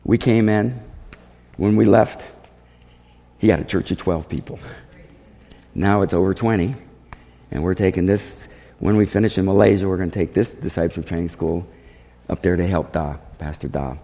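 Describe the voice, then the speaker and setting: Gravelly low-voiced man in a reverberant hall. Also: moderate pace, long pauses. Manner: animated, acts out dialogue. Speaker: missionary speaker. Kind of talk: testimony storytelling to a congregation.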